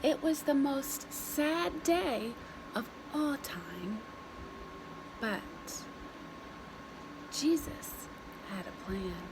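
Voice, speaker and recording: Lady doing storytime for little kids, really slow and super animated, noisy recording. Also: sits high, vocal fry. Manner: expressive, simple deliberate phrasing with dramatic pauses. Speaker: children's story reader. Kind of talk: storytime read-aloud for young children.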